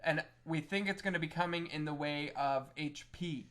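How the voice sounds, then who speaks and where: Male podcast co-host, slightly high voice, casual delivery of video game news. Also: normal speed, fairly bright tone. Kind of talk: casual gaming news discussion.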